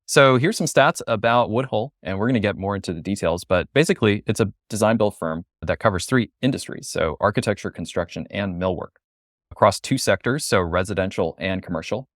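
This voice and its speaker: A man's voice: lowish voice, fairly quick, no echo, studio quality. A man in his thirties hosting a webinar, presenting and interviewing a guest. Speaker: interview host.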